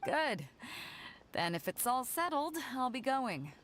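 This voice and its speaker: A woman's voice: high voice, very animated, slow pace, fairly bright tone, a little hiss or hum, full character voice. A woman voicing a bold, confident sea captain in a video game, assertive and breezy with a teasing edge. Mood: brisk farewell. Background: game music.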